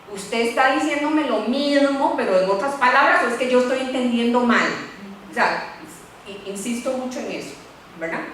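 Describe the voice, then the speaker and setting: A middle-aged woman lawyer, speaking on a university panel in a candid, animated way and often adding "¿verdad?". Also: sits high, a little hiss or hum. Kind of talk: panel talk. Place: lecture room. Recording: echoey room, voice from far off.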